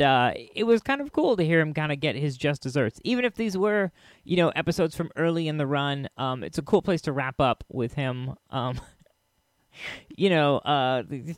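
A man hosting a solo podcast in a casual, halting and enthusiastic way. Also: fairly high pitch, dry recording, studio quality. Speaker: podcast host.

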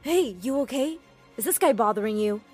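A woman voicing a caring young man who speaks softly and reassures. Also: on the high side, lively intonation, brisk, faint music behind, lightly acted. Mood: concerned. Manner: gentle and reassuring, firm when angry. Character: caring, protective young boyfriend.

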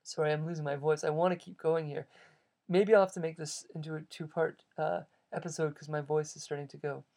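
A man's voice: a little high, level tone, a little fast, gravelly, warm tone. A man hosting a solo podcast episode, thinking aloud in a rambling, reflective way and often restarting his sentences. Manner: reflective, philosophizing. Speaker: podcast host.

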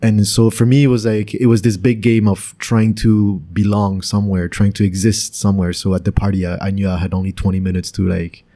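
A man's voice: on the low side, little pitch movement, a little fast, hardly any pauses, warm tone. A young man, hosting a casual interview, talking in a chatty way with hesitant restarts. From France. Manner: chatty, hesitant restarts, laughs while telling stories. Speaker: podcast host.

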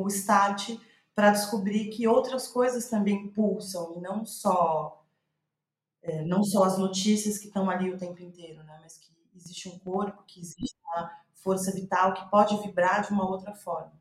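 A Brazilian woman talks reflectively about her own writing in a clearly echoey room. Her pitch sits in the middle, and she speaks thoughtfully, searching for the right words. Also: steady tone, moderate pace. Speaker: playwright, guest. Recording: roomy, echoing sound.

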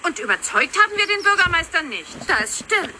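Piercingly bright, lively young witch. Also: very high-pitched, a little fast, heavily acted. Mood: debating. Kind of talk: German children's audio drama acting. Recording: noisy playback of an audio drama.

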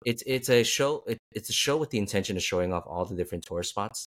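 A man hosting a casual interview, speaking haltingly and repeating words as he forms his questions. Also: on the low side, expressive. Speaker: host.

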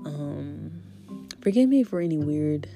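A woman hosting a spiritual podcast in a casual, rambling way with a deep voice that is still recovering from an illness. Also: lively intonation, measured pace, creaky, music in the background. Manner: rambling, faith-filled, soft laughs. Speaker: podcast host.

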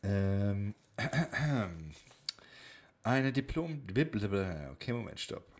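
A man's voice: fairly low pitch, very animated, very slow. A man co-hosting a podcast in casual, conversational talk. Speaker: podcast co-host.

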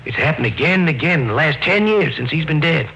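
Creaky-voiced, foreboding country caretaker. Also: male voice, a little high, animated, pushed and bright, slight background noise, exaggerated voice. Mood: grimly knowing. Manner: folksy, halting, foreboding storytelling. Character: superstitious old rural mausoleum caretaker, ominous and insistent. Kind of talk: old-time radio drama acting. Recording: muffled vintage radio recording, music behind.

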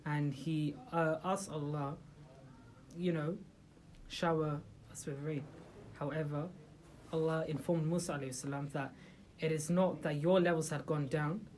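A speaker leads an informal religious talk for a small group gathered in a room. The voice sits at a middle pitch, with rhetorical questions to the listeners and frequent hesitant restarts. Faint background noise runs under the recording. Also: fairly even intonation, a little slow, darkish tone, low-quality recording.

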